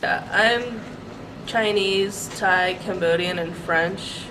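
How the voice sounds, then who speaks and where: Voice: mid-range voice, slow. A young person answering interview questions in an easygoing way, often laughing. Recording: distant mic with loud background noise.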